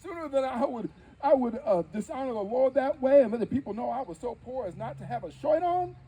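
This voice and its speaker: A man playing a dignified, wry nineteenth-century preacher, telling his story in emphatic theatrical oratory with deliberate dramatic pauses. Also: very high-pitched, animated, dark tone. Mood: earnest, proud. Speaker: live history interpreter. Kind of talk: theatrical first-person oratory before an audience.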